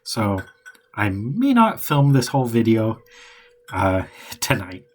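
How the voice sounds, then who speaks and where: A hoarse man who is losing his voice thinks aloud as he outlines a novel, hesitant and rambling. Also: on the low side, sing-song. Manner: hesitant and rambling, many pauses and restarts. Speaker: writer.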